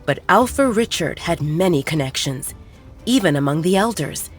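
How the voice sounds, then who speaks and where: A woman narrating a romance audiobook at a steady storytelling pace. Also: on the low side, moderate pace. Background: soft music.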